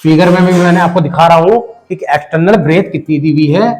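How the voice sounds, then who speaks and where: A man with a hoarse voice from a cold, patiently explaining a math lesson step by step and talking straight to the viewer. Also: fairly high pitch, darkish tone. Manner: patient, addresses the viewer directly, repeats numbers slowly. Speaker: math teacher.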